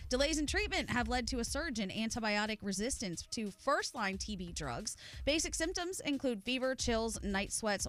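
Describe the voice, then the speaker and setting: A woman reading headline news on a radio morning show in a crisp, wry delivery. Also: fairly high pitch. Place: radio studio.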